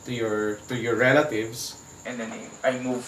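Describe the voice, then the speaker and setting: A man's voice: medium pitch, little pitch movement, normal speed, a bit bright. Filipino man in an echoey room. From Philippines. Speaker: HIV advocate, interview guest. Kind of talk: personal testimony in a talk-show interview. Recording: echoey room, distant mic, faint background noise.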